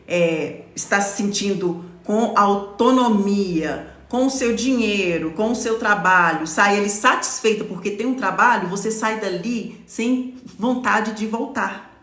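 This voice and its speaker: A woman's voice: medium pitch, a little slow, few pauses, fairly bright tone. Brazilian woman in her forties, echoey room. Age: 40s. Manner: explanatory, stops often to read comments, says "tá?". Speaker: lawyer and live-stream host. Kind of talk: live-stream talk to followers.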